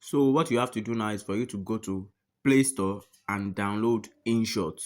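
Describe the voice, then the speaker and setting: A man's voice: fairly low pitch, normal speed, darkish tone. A man narrating a step-by-step app tutorial, often repeating his phrases and instructions. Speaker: tutorial host.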